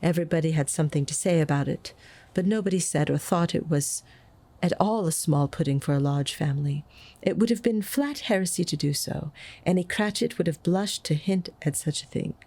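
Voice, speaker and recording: A woman reading a classic story aloud as an audiobook, with an expressive, dramatic delivery. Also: deep voice, fairly quick. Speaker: audiobook reader. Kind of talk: expressive scripted storybook narration.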